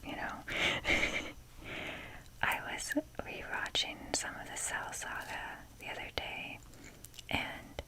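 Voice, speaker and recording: A young woman hosting an ASMR video in a breathy, unvoiced whisper, rambling casually with a self-deprecating manner. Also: slow, long pauses, rough recording.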